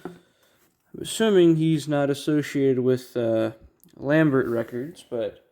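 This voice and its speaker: Mellow-voiced man, casual and halting. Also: mid-range voice. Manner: halting, thinking aloud. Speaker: record collector, video host. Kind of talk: casual unboxing commentary.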